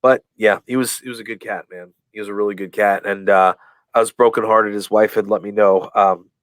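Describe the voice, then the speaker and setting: A man's voice: on the low side, brisk, no echo. Rambling man telling stories, dry mic. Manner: rambling, full of fillers and restarts; acts out quoted lines in lively voices. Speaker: guest, professional wrestler. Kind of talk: casual storytelling in a podcast interview.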